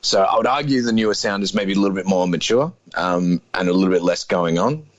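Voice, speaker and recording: A man in his thirties with a deep voice, a guest musician talking casually in an interview, laid-back and hedging with lots of fillers. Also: brisk, no echo, highs cut off. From Australia. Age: 30s.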